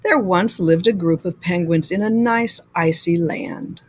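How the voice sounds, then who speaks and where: A woman reading a children's story aloud like a school librarian, with deliberate, expressive delivery. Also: fairly low pitch, a little hiss or hum.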